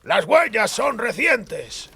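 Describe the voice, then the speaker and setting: A man's voice: high voice, big pitch swings, slow pace, gravelly, pushed and bright, husky, pitched above the performer's own voice, exaggerated voice. A man voicing an anxious, watchful tracker who speaks warily and precisely in an audiobook. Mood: puzzled. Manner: murmuring, wary.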